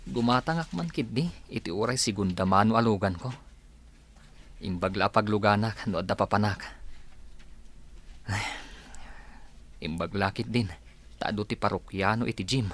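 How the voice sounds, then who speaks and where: Man acting easygoing, deepish Filipino young man. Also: normal speed, pauses often, a bit bright, a little hiss or hum. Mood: musing. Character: cheerful, boastful young man, gym caretaker. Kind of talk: radio drama acting.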